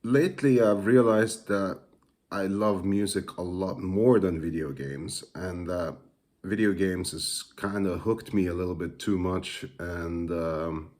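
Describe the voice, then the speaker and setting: Slow, low-voiced Norwegian man musing. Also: a little mellow. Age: adult father. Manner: thoughtful, hesitant, many pauses and fillers. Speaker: musician and music teacher. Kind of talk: casual reflective monologue.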